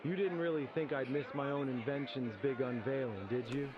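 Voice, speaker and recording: A man's voice: medium pitch, a little fast, a crowd in the background, lightly acted. A man playing a grandiose male inventor in an analog horror animation, presenting like a pompous, mock-courteous showman. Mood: grand, showy. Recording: dull lo-fi audio under loud music.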